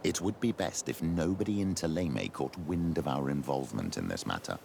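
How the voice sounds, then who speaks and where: A man playing a grave, courteous clergyman in a video game, speaking formally and with measured deference. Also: big pitch swings, darkish tone, slight background noise, full character voice. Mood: grave, discreet. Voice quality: very deep, gravelly. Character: grave, courteous old clergyman of an inquisitorial church. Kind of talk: video game cutscene acting.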